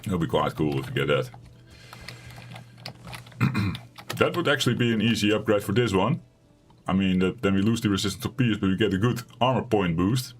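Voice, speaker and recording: A man with a raspy voice streaming a survival game from home, chatting casually and rambling in a self-deprecating way. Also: deep voice, expressive, normal speed, long pauses, faint music behind. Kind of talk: casual let's-play commentary.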